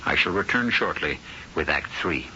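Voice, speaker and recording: A man narrating a 1970s radio drama in a measured, dramatic way. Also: extremely low pitch, lively intonation, heavy background noise. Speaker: narrator and host. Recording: dull old radio broadcast with music.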